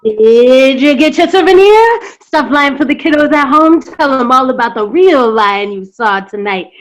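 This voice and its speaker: A woman's voice: sits high, animated, normal speed, poor audio. A woman playing a pushy, showy circus vendor in a play reading, hawking with sing-out sales patter. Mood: pushy, cheerful. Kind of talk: stage acting in a play reading. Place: indoors on a video call.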